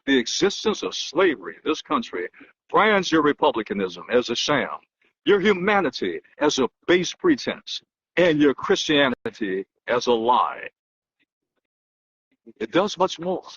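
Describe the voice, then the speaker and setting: Male orator, raised pitch with wide swings, husky edge, emphatic dramatic delivery, slightly dull recording. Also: big pitch swings, fairly bright tone, slight background noise. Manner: emphatic, declamatory, with dramatic pauses and repetition. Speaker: political analyst and host. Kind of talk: dramatic speech reading and oratory. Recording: dull, lo-fi muffled sound.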